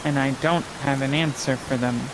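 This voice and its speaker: A man's voice: mid-range voice, fairly even intonation, normal speed, lightly acted. A man playing a guarded, evasive occultist with a secret in improvised roleplay, speaking tersely. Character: guarded male occultist hiding a dangerous secret. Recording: clearly hissy live stream microphone.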